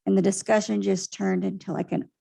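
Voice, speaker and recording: A woman with a soft voice giving a pointed public comment at a city council meeting. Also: mid-range voice, little pitch movement, brisk, gravelly, husky. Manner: earnest, pointed criticism.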